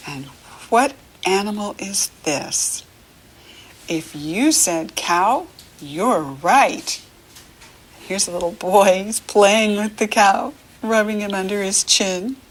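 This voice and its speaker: An American woman reading a picture book to young children as a lesson, encouraging and animated, asking questions as she goes. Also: medium pitch, slow pace, slight background noise. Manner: encouraging, asks questions and leaves room to answer; animated, sing-song child-directed talk.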